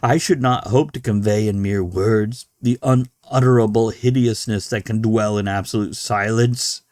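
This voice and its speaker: A man voicing a despairing, morphine-addicted sailor in a horror audiobook, grave and formal, halting for emphasis. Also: on the low side, a little mellow, no room sound, clean studio sound, lightly acted. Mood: haunted dread. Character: despairing morphine-addicted sailor writing his final horrified confession.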